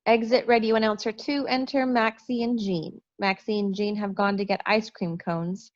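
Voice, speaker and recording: A woman's voice: medium pitch, little pitch movement, normal speed. A woman reading stage directions as scripted narration in a play table read over a video call. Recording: muffled video-call audio.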